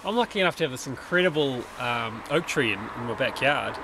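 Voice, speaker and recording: A man's voice: mid-range voice, lively intonation, brisk, background noise. A man in his thirties with an Australian accent, thinking aloud as he explains a tree in his backyard, often restarting his sentences. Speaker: permaculture designer. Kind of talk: casual explanatory vlog. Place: outdoors in a backyard under a large tree. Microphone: handheld camera at arm's length.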